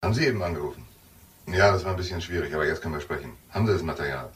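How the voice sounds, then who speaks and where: An actor playing an evasive, sardonic lone-wolf police detective in a radio crime drama, with a casual, needling manner. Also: male voice, low voice, rapid-fire, fairly bright tone. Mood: guarded. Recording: clear background noise.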